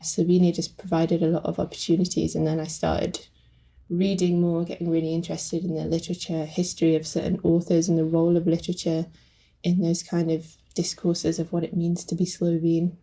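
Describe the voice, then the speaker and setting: A woman's voice: lowish voice, monotone, fairly quick, warm tone. A woman speaking reflectively with fillers as a guest translator in a podcast conversation.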